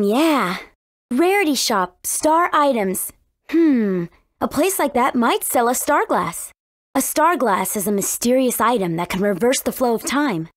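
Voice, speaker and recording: High lively teen princess for a video game, brave n earnest, very dry close sound. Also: female voice, dry recording. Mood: thoughtful. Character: brave, friendly, earnest teenage warrior princess. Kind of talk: scripted video game character dialogue.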